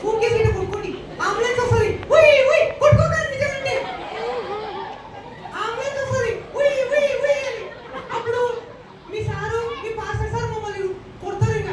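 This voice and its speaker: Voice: very high-pitched, fairly quick. A performer voicing a playful child puppet that teases and repeats questions to children in a live puppet show. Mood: playful teasing. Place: large church hall puppet show, children around. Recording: echoey noisy hall, far from mic, crowd.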